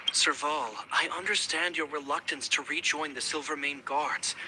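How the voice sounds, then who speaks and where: A man playing an earnest, dutiful young guard officer in a video game, speaking formally and in a measured way. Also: on the high side, fairly even intonation, background noise, natural voice. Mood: earnest, persuasive. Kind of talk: video game character acting. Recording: game music behind the voice. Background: game music.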